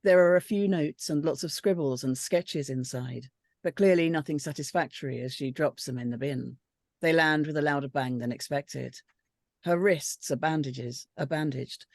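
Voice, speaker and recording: A woman narrates stage directions from a script. Her pitch is a little low for a woman. The recording is very dry, with hardly any room sound. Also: moderate pace, no echo. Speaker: script panel reader reading stage directions.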